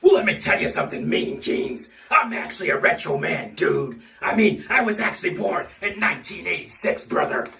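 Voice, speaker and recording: Blustering man doing a gravelly wrestling promo. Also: sits high, sing-song, normal speed, few pauses, distant mic, crowd noise. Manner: blustering wrestler bravado, tacks on "brother" and "dude". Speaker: fan wrestling promo performer. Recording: roomy echo, off-mic.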